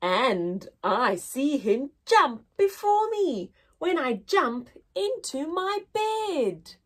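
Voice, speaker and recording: Slow, high, very animated woman storyteller. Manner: expressive, exaggerated wonder, sing-song storytelling for toddlers. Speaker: children's story-time teacher and host. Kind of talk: animated read-aloud for young children.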